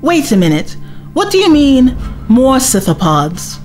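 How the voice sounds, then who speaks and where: A woman playing a forthright, high-voiced adventuring companion. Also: very animated, unhurried, darkish tone. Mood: insistent. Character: forthright young female companion of a time-traveller. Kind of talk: scripted science fiction audio drama acting. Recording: music bed under the dialogue.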